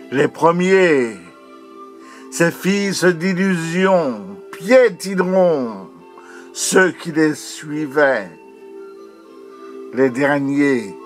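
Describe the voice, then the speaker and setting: A man reciting his own poem in a solemn, declamatory manner, in short phrases with long pauses. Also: medium pitch. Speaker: poet reading own poem. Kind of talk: dramatic poetry recitation over music. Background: music.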